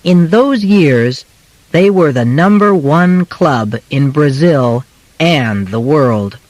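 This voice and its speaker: Slow, expressive male audiobook narrator. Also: fairly high pitch, no room sound, poor audio. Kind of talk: scripted narration of a simplified biography for learners.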